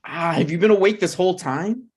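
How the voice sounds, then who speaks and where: A man's voice: high voice, brisk, a little mellow, husky. A man playing a stubborn, snarky broke writer with dry, needling delivery in a comedy table read. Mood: sheepish, dry.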